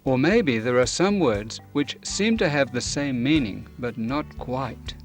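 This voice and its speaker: An older man presenting a scripted educational video, explaining in a clear, measured way, filmed in a studio. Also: mid-range voice, lively intonation, soft background music. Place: studio, dark curtain behind.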